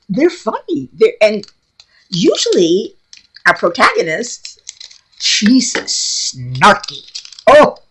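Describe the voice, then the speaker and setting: Chatty new york woman with a lively voice. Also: medium pitch, noisy background. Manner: chatty, laughs often, blunt. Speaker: craft vlogger. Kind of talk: casual rambling chat. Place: home craft room.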